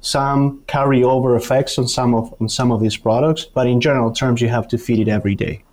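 A man with an Argentine accent, a native Spanish speaker, answering interview questions as an academic expert in a halting, explanatory way. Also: medium pitch, steady tone, a little mellow. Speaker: guest, university dairy researcher.

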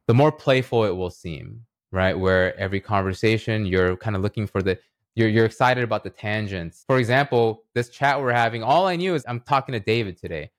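Guy guest on a podcast, lowish voice, chatty explainer, says right? a lot, really dry close sound. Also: a little fast, no echo. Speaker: podcast guest, writer and illustrator. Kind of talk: casual conversational podcast talk.

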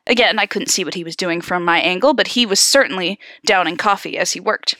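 A woman hosting a story-reading show, switching between expressive narration and slangy, sarcastic casual asides. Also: lowish voice, brisk, dry recording, studio quality. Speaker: podcast host reading horror stories aloud. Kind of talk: story read-aloud mixed with casual banter.

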